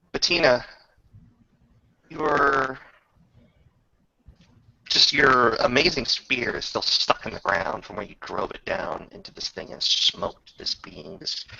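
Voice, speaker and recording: A man narrating a tabletop roleplaying game as game master, descriptive and measured, often pausing mid-sentence. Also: medium pitch, gravelly, sharp tone, no echo. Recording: dull lo-fi recording.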